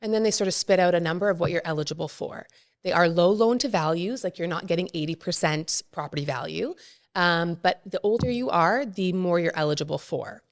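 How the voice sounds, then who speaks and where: Woman, lower voice, podcast guest, plain explainer, casual fillers. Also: a little fast. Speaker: mortgage expert guest.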